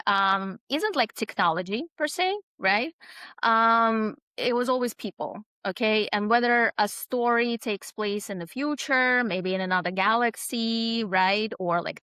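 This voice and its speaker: A woman's voice: medium pitch, sharp tone, clean tone, no room sound. A middle-aged woman, answering interview questions thoughtfully, with many fillers and tag questions like "right?" and "okay?". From Russia. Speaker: author guest.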